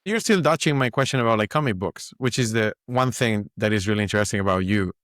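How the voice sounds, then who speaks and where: A man who is not a native English speaker hosts a casual interview, with plenty of teasing and jokes at his own expense. His voice is low, and the recording is dry and close. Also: quick pace, a bit bright, dry recording. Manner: teasing, self-deprecating. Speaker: podcast host.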